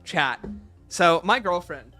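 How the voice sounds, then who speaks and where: A man's voice: high voice, expressive, slow pace, bright, forceful tone, faint music behind. A man hosting a livestream, casually reading out questions and answering them with emphatic opinions.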